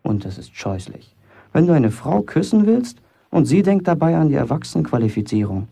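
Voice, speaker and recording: Casting: boastful young working lad for radio drama, lively swooping pitch, gravelly edge, provocative and defiant. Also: male voice, normal speed, exaggerated voice. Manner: colloquial, provocative, self-justifying. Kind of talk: German radio play acting.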